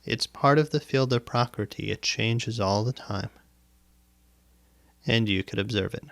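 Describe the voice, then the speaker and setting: Slow, low-voiced man leading meditation. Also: vocal fry, a bit bright, no room sound. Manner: deliberate, instructive, with pauses and repetition. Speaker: meditation teacher. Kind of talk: guided meditation, spoken instruction. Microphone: close microphone, dry sound.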